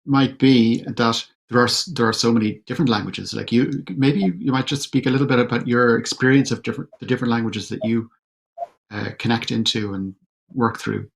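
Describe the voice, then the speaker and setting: Gravelly man moderating a panel. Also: mid-range voice, fairly even intonation. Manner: measured, hesitant with many uhs. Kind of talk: online panel discussion. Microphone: video call.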